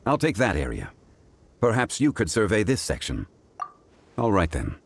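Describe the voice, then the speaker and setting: Low-voiced, dignified sage. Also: male voice, wildly swooping pitch, moderate pace, creaky, slight background noise, heavily acted. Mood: composed, authoritative. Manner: formal, composed, solemn. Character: calm, dignified, commanding ancient male sage. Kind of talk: dramatic video game voice acting. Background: music.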